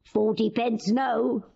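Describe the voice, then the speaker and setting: Husky, teasing old court lady. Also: a little high, highs cut off, heavily acted. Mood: gleeful mocking. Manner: teasing, mocking, worldly. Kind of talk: classical Shakespearean radio drama acting.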